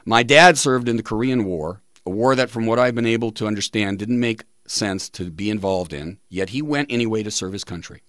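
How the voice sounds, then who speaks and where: Male radio host, lowish pitch, measured emphatic reading delivery, close and dry studio mic. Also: fairly bright tone, dry recording. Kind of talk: reading listener tributes and quotes on a talk show.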